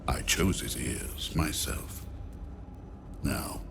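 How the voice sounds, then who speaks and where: A man's voice: extremely low pitch, background noise, exaggerated voice. An actor playing an arrogant, cold male necromancer in a video game cutscene, speaking with haughty disdain. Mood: gloating. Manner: haughty, disdainful, slow and deliberate.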